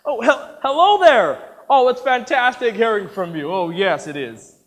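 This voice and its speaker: A man's voice: high voice, lively intonation, normal speed, a bit bright. A man playing a bossy, money-minded film boss in a story, emphatic and commanding, in a reverberant hall. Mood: gushing, flustered.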